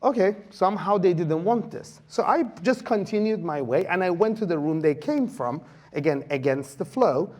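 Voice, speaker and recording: Male lecturer, slightly high voice, halting anecdotal conference talk. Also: animated, a little mellow. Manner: hesitant, many fillers, anecdotal storytelling. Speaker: guest lecturer. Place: lecture hall.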